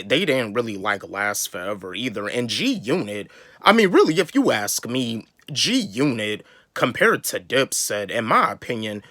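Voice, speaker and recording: A man's voice: lowish voice, pushed and bright, no room sound, studio quality. A young man from the US South giving a casual, rambling opinion on a rap feud, often repeating words as he talks. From North Carolina, US South. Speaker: YouTube hip-hop commentator.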